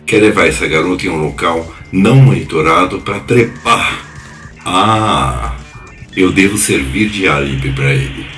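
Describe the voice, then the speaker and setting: A man's voice: sits low, unhurried, fairly bright tone, far from the mic, faint music behind. A man acting a wary, dryly cynical man in an audio drama. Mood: cynical, inward musing.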